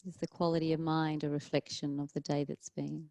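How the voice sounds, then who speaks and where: A woman teaching yoga leads a guided relaxation, calmly and gently, leaving long pauses between short phrases. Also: deep voice, no room sound. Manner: calm, soothing, gentle instruction with long pauses. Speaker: yoga teacher leading a class.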